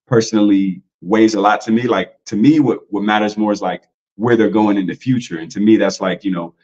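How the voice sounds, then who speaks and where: A man's voice: on the low side, fairly even intonation, a little fast, a little mellow, lo-fi sound. A man in a casual podcast talk, loose and slangy, full of "like" and "you know". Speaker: podcast guest.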